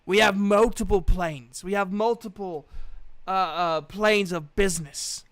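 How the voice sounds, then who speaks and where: A brash, scheming dwarf recruiter for a fantasy tabletop game, acted out by a man, pitched high and very clear. He pushes his offer hard, with taunting, emphatic patter in a dry, close sound. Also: unhurried, a bit bright, no room sound. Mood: boastful pitch. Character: brash, scheming male dwarf guild recruiter, pushy and mocking.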